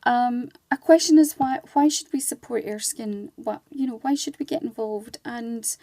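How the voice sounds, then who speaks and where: A woman's voice: fairly high pitch, moderate pace, dark tone, no room sound. A woman speaking as a charity ambassador and guest in a conversational radio interview, chatty and explanatory with wandering asides.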